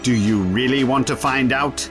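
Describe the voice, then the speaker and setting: An actor playing an eccentric, bookish time-traveller in an audio drama, witty and defiant, with a wry, articulate way of talking. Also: male voice, mid-range voice, moderate pace, music in the background, close to an everyday voice.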